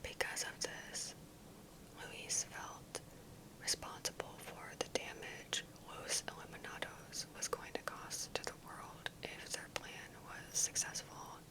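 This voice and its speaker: A woman giving ASMR commentary in a soft, breathy, unvoiced whisper, with careful, measured delivery. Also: poor audio. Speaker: ASMR gaming video creator.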